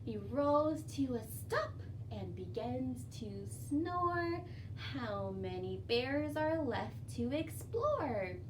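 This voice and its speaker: A woman with a high voice reads and recites for young children in an expressive, sing-song storytime style. She takes her time over the words. The mic is a little distant, with faint noise behind her. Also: slow. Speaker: children's librarian leading storytime. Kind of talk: children's storytime with songs, rhymes and read-aloud.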